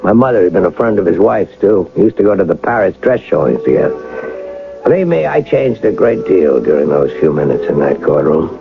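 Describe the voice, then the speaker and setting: Intense young rich man, creaky voice. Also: low voice, big pitch swings, a little fast, heavily acted. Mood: bitter, resolved. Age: young adult. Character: embittered wealthy young Ivy League man. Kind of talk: radio drama acting and first-person narration. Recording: dull old radio broadcast with music.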